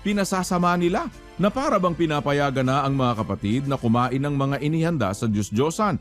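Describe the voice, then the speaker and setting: Animated high-voiced man preaching. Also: a little fast, faint music behind. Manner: admonishing, emphatic. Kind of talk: religious exhortation with rhetorical questions and scripture quotes.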